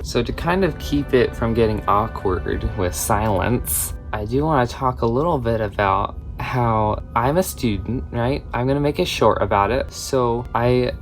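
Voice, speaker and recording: A man's voice: mid-range voice, unhurried, hardly any pauses. A young man in his late teens, a crochet vlogger, talking through a project in a chatty, rambling way that drifts off topic. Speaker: crochet vlogger and small shop owner. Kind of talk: casual crochet tutorial chat. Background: background music.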